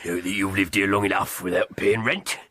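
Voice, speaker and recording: An actor playing a menacing landlord in a radio drama, husky and taunting with mock politeness. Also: male voice, sits low, steady tone, a little fast, bright, forceful tone, dry recording. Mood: sneering. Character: menacing, sneering male landlord.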